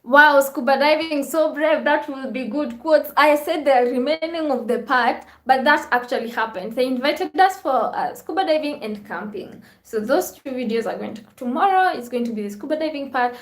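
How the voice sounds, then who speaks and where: A woman's voice: sits high, animated, normal speed, few pauses, echoey room. A woman hosting a live vlog stream, chatting casually with viewers, reading their comments and thanking them often.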